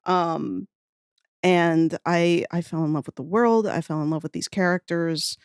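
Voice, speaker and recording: A woman's voice: lowish voice, measured pace, clean tone, dry recording, clean studio sound. A woman chatting casually as a podcast guest, reminiscing in an enthusiastic, rambling, self-deprecating way. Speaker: podcast guest, audio fiction writer.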